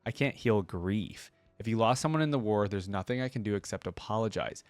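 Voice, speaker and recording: Male reader as placid, steadfast female spiritual leader, measured storybook delivery, lowish and dry. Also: dry recording, close to an everyday voice. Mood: calm, resolute. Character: serene, steadfast tall woman spiritual leader. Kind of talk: storybook reading.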